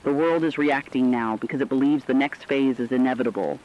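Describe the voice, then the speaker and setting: A man playing a sober radio analyst, giving formal, deliberate commentary in a scripted emergency broadcast. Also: medium pitch, muffled recording, natural voice. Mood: sober, ominous.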